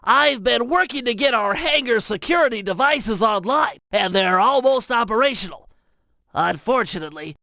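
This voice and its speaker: A man's voice: high-pitched, vocal fry, sharp tone, no room sound, heavily acted. A man voicing a brainy, cautious young turtle strategist in a cartoon video game, with a thin, nerdy voice and precise, wordy, instructional delivery. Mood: earnest, scheming. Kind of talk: video game mission briefing and tutorial voice acting.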